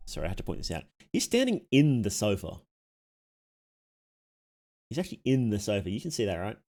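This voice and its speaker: A man with a fairly deep voice and very lively pitch movement hosts a casual reaction stream. He talks in blunt slang and jokes as he comments, sounding loose and unscripted. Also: brisk. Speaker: livestream host.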